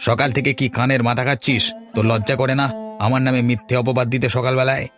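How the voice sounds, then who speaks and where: A shifty cartoon villager, played by a man, with a mid-pitched voice. He blusters irritably and brushes people off, with faint music underneath. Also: little pitch movement, quick pace, fairly bright tone, lightly acted. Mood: indignant, dismissive. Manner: blustering, dismissive. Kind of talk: cartoon dialogue acting.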